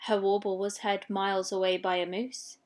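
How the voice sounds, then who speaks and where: A woman's voice: mid-range voice, level tone, moderate pace. A woman reading a children's storybook expressively, with dramatic delivery of sound words. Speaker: story reader.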